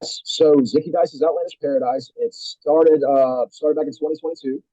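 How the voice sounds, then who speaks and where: A man who works as a wrestling commentator, chatting as a guest in quick, enthusiastic bursts. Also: fairly high pitch, fairly even intonation, warm tone, dry recording, muffled recording. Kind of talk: casual chat.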